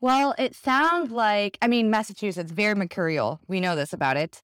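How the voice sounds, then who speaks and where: A woman's voice: mid-range voice, normal speed, fairly bright tone, clean tone, no room sound. A woman co-hosting a podcast, steering the conversation with playful questions. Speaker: podcast co-host.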